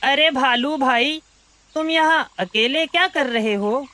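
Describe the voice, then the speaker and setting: Woman voicing a high-pitched, clever cartoon monkey. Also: takes it slowly, sharp tone, full character voice. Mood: friendly. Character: friendly, clever monkey who warns his friend. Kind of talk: cartoon story voice acting.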